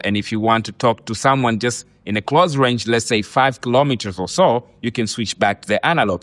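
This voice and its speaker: A man's voice: medium pitch, no echo. Man presenting a product, dry close sound. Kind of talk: product demonstration.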